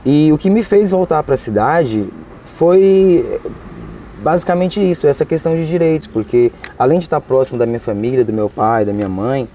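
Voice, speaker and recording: A man's voice: a little high, normal speed, dark tone, slight background noise. A man, answering interview questions earnestly and hesitantly. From Tocantins, Brazil. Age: 20s. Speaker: interviewee, law graduate.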